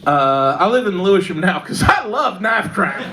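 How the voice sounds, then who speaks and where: A woman with a Texan accent performing a stand-up set in a pub comedy club, delivering dark jokes deadpan, with lots of fillers and little laughs at her own lines. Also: medium pitch, moderate pace, heavy background noise. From Texas. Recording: live club mic, loud audience laughter.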